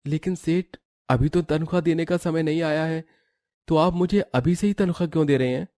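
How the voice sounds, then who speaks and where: Man acting as worried working husband, pleading, earnest, higher-pitched, moral story cartoon, dry recording. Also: brisk, mellow, no echo, natural voice. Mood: puzzled.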